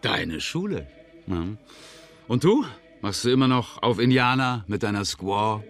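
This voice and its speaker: An actor playing a cocky, scheming outlaw in a German western radio drama, glib, sly and needling. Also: male voice, on the low side, sing-song, measured pace, soft background music. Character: slick, scheming outlaw swindler, cocky and vengeful.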